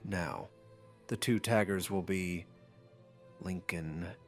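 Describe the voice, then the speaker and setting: A man's voice: low-pitched, slow, soft background music. A man voicing a gleeful, sadistic godlike game master in an anime audiobook, taunting and mockingly amused.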